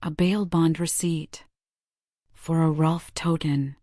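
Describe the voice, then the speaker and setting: A woman's voice: low voice, fairly even intonation, takes it slowly, warm tone, dry recording. A woman narrating gameplay and speaking cryptic lines for her player character in a theatrical manner. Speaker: gaming creator voicing her player character.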